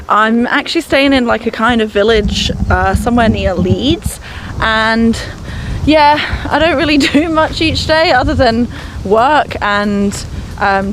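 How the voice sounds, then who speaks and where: Chatty young woman vlogging to camera. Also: fairly high pitch. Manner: chatty, self-mocking humor. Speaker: vlogger and online English teacher. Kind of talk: casual vlog talk to camera.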